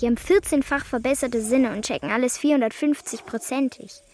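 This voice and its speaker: A woman's voice: sits high, a little fast, mellow, a little hiss or hum, close to an everyday voice. An actress playing an earnest, youthful girl who is a devoted friend, in a German children's radio drama. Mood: friendly, earnest.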